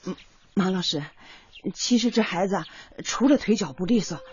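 An actress in a radio drama playing a middle-aged mother, warm, over-eager and anxious, coaxing and hesitant. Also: medium pitch, moderate pace, highs cut off, lightly acted.

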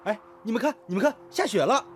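A man's voice: high voice, lively intonation, fast. An actor playing an enthusiastic, supportive male factory worker in a radio drama. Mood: delighted. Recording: long reverb, faint noise.

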